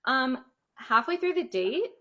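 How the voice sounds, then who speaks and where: Bright, high-voiced young woman storyteller. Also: very animated, unhurried, dull audio. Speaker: storyteller recounting a personal experience. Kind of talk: casual storytime chat.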